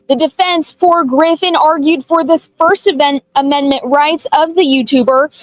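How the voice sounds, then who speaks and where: A woman's voice: high-pitched, no echo, poor audio. A woman television reporter reading a scripted news narration.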